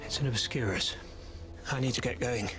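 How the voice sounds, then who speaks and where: A man's voice: medium pitch, normal speed, lightly acted. Hesitant young British wizard played by a man. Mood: distracted, polite. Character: gentle, awkward young male wizard and creature lover. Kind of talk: film dialogue in a game cutscene. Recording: loud music behind film dialogue.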